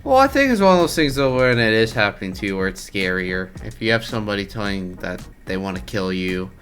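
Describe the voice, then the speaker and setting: A man's voice: fairly low pitch, sing-song, fairly quick, fairly bright tone, soft background music. A man hosting a reaction video, chatting in a casual, informal and joking way.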